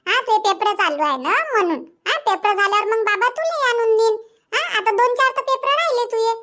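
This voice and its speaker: A woman's voice: very high voice, brisk, bright, forceful tone. A woman voicing a stubborn, grumbling young village mother in a cartoon comedy, chattering emphatically with a Vidarbha Marathi accent. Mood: reassuring.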